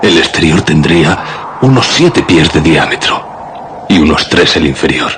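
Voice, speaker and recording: An actor playing a solemn, fearful shepherd in radio drama, speaking portentously and emphatically. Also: male voice, very deep voice, lively intonation, measured pace, fairly bright tone, slight background noise, exaggerated voice. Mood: ominous, solemn. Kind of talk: Spanish radio drama acting.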